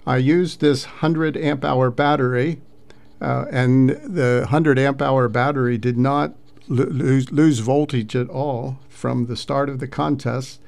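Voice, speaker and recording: A man giving an informal vlog narration as a radio hobbyist, speaking in halting, hesitant phrases. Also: medium pitch, fairly even intonation, gravelly, a little mellow. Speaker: amateur radio operator recounting a contest weekend.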